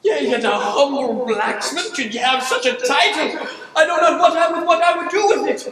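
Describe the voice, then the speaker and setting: A man's voice: extremely high pitch, expressive, moderate pace, fairly bright tone, far from the mic. A man playing a humble, flustered young blacksmith in improvised stage comedy, fumbling through flowery mock-archaic speech. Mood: awed. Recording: very noisy, echoey stage from afar.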